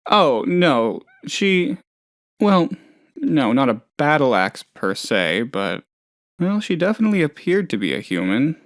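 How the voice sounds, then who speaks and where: A man's voice: medium pitch, fairly bright tone, no echo, clean studio sound. A man playing a hapless, trusting, lonely oddball in a video game, talking in a rambling, hesitant, good-natured way. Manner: rambling, hesitant, naive, chatty. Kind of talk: video game character dialogue.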